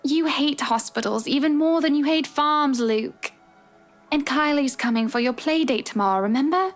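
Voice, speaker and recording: An actress playing a patient, gently teasing young wife in a visual novel game, soothing and reassuring. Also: female voice, high-pitched, normal speed, close to an everyday voice. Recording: game music behind. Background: music.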